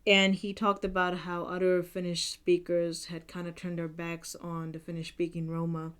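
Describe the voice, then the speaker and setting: A woman's voice: fairly low pitch, completely flat delivery, moderate pace, fairly bright tone, smooth. A young woman from Sweden talking earnestly in a casual book vlog, with many fillers and restarts. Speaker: book vlogger.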